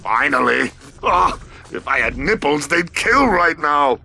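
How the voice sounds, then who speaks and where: An actor playing a bombastic, aggrieved evil mask spirit in a cartoon game, with a gravelly, indignant voice. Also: male voice, fairly high pitch, lively intonation, measured pace, bright, forceful tone, faint music behind, heavily acted. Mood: bitter anger. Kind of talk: animated video game cutscene acting.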